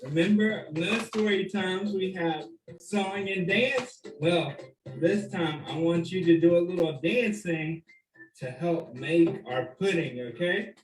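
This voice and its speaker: A man with a slightly high voice hosts a children's demonstration. He speaks very slowly in simple steps, asking the young viewers questions and leaving room for answers. The room adds a clear echo to his voice. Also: faint music behind. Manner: simple step-by-step phrasing with questions and pauses for the child. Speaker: children's story time host. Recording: roomy echo.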